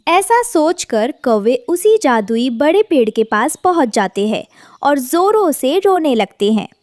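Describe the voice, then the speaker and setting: A woman narrator tells a scripted cartoon story. Her voice is high and animated, rising and falling freely, with a dry studio-like closeness. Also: measured pace, no room sound.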